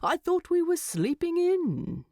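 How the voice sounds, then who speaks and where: Female-acted male hobbit, grumpy, drowsy, indignant mumbler, animated intonation, fantasy audiobook. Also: extremely high pitch, takes it slowly, no room sound, studio quality, voice pushed up, full character voice. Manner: mumbling, muttering, squawking complaints.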